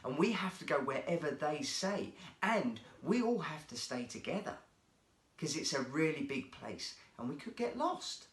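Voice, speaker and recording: A man's voice: on the high side, very animated, moderate pace. A man voicing a sensible, cautious schoolboy in a children's story, with an earnest manner. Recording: roomy, echoey sound.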